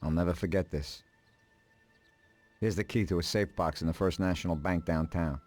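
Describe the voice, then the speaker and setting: Mob bookkeeper in a crime game: very low voice, solemn, formal, anxious, music behind. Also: male voice, fairly even intonation, moderate pace, a little mellow. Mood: grateful, solemn. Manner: earnest, formal, polite. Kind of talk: dramatic video game dialogue.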